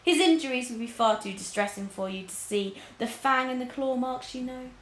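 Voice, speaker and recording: A woman voicing a sly male lord from a storybook, smooth and falsely regretful in manner. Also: fairly high pitch, moderate pace, roomy sound, a little hiss or hum, pitched above the performer's own voice, close to an everyday voice.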